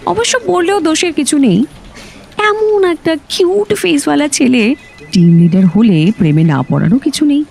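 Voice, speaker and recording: A woman's voice: high-pitched, lively intonation, normal speed, vocal fry, a little mellow. A woman playing a chatty, nosy senior office colleague in an audio drama, with a teasing, gossipy manner. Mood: playful, teasing. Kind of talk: audio story acting.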